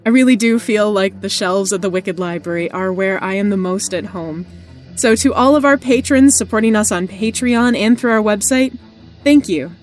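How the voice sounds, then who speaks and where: A woman giving a scripted podcast introduction as guest host, with expressive, emphatic phrasing. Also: mid-range voice, normal speed, soft background music.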